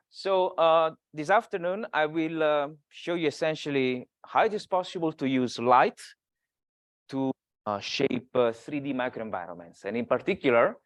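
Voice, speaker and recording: A man's voice: medium pitch, dry recording. A man in his thirties from Italy with an Italian accent, giving an academic seminar talk, hesitant with many filler sounds. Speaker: guest speaker.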